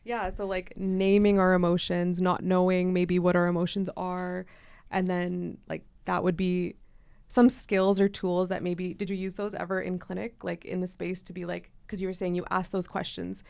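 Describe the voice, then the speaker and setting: A woman's voice: lowish voice, level tone, normal speed, gravelly, darkish tone, clean tone, no room sound. A woman hosting a podcast, Punjabi her first language, asking questions in a loose, filler-heavy way. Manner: filler-heavy, uses "like" often. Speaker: podcast host. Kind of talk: casual podcast interviewing.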